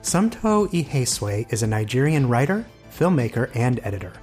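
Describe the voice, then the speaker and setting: A man's voice: mid-range voice, sing-song, normal speed, darkish tone, faint music behind. A man hosting a literary podcast, reading scripted introductions and putting questions to a guest. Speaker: podcast host and editor.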